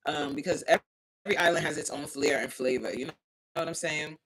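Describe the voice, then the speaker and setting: A woman hosting a casual livestream, reading chat aloud, animated and outspoken, exclaiming often and laughing as she talks. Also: deep voice, fast. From United States.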